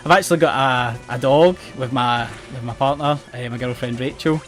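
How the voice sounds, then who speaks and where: Young man, west coast Scottish accent, rambling, self-mocking game commentary, mid-pitched voice, faint game music under it. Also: fairly quick. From Scotland. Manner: chatty, rambling, self-mocking, often restarts words and laughs. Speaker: gaming channel host.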